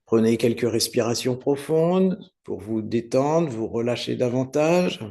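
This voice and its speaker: A man teaching guided meditation, giving unhurried, soothing step-by-step instructions with pauses. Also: mid-range voice, expressive, creaky, mellow. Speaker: yoga nidra meditation teacher.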